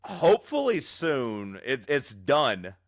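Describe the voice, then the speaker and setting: Brief: male podcast co-host, loud and teasing, mid-range pitch, dry close mic. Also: huge pitch swings, takes it slowly, no room sound. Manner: loud, teasing, jumps in with bits. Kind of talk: casual banter. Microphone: own microphone, recorded remotely in an app.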